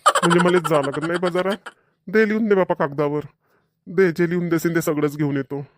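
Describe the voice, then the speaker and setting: Man voicing a fast-talking rural Maharashtrian cartoon villager. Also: on the high side, dry recording, studio quality, close to an everyday voice. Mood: grumbling. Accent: Maharashtrian, rural Vidarbha Marathi. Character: village men, dutiful sons and put-upon husbands. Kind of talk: cartoon comedy dialogue.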